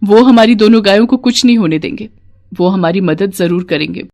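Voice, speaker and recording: A woman's voice: mid-range voice, fairly quick, a little mellow, close to an everyday voice. Woman playing an earnest, devout village wife. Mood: reassuring. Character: devout, caring village wife who tends cows.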